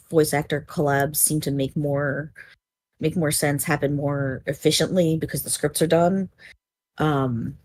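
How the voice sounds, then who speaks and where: A woman with a husky voice, talking casually as a podcast panelist and pausing to repeat words as she thinks. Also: low voice, a little mellow, dry recording. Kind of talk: casual discussion.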